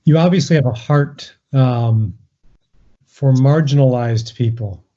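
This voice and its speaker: A man's voice: medium pitch, unhurried, warm tone, dull audio. A man hosting an interview, introducing his guest and asking questions with hesitant filler words. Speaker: interviewer host.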